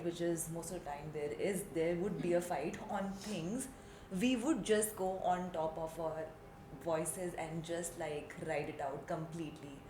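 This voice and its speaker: A woman from Delhi with an Indian English accent, co-hosting a casual podcast chat and talking slowly and thoughtfully, with many restarts. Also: lowish voice. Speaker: podcast co-host. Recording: echoey room with noise.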